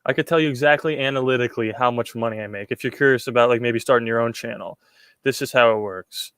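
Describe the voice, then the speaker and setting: A man's voice: medium pitch, fast talker, a bit bright, clear, resonant tone, no echo. A young man from Puerto Rico hosting a casual livestream, reading chat questions and answering them in a rambling way, with repeated words and many ums.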